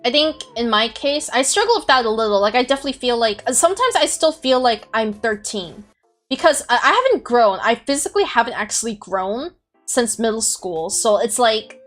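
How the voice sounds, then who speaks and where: A woman's voice: a little high, expressive, brisk, a bit bright, soft background music. Rambling, reflective young woman podcaster. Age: 20s. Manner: rambling, reflective, lots of "like" and restarts. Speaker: podcast host. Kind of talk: casual chat on a group call.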